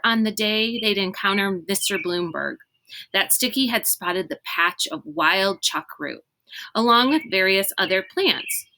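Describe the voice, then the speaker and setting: A woman narrates a children's story with a bright, forward tone at an ordinary female pitch. Her reading is expressive and emphatic, shaped like a read-aloud for young listeners. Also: a little hiss or hum.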